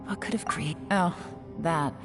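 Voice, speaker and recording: Composed, shrewd woman adventurer over loud music. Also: deep voice, a little slow. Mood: composed, serious. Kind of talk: scripted game dialogue.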